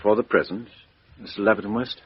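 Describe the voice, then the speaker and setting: Dogged, husky English police inspector. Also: male voice, lowish voice, fairly even intonation, brisk. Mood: probing, polite. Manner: businesslike, questioning, muttering asides. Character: brisk, dogged, plain-spoken male police chief inspector. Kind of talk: radio drama acting. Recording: roomy, dull lo-fi radio recording.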